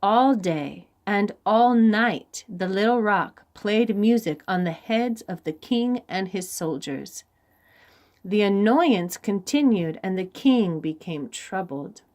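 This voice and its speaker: A woman reading a children's story aloud in an expressive, deliberate and dramatic way, pausing for young listeners. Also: mid-range voice.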